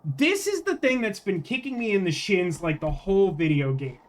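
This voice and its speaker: A man's voice: high-pitched, big pitch swings, moderate pace. A man hosting a gaming livestream, chatting casually to viewers and thinking aloud in a rambling way. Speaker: livestream host, gamer.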